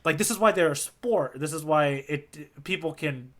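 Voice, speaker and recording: A man with a very bright, slightly high voice talks as a podcast co-host. He explains things casually and with animation, working through each point conversationally and often checking that he is being followed. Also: moderate pace.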